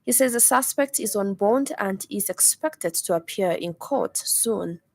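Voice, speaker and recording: A woman news reader with a Zambian accent delivers a formal bulletin in measured phrases. Her voice sits at a middle pitch, recorded close and dry in a radio studio. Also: lively intonation, darkish tone, no room sound. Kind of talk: scripted news bulletin reading.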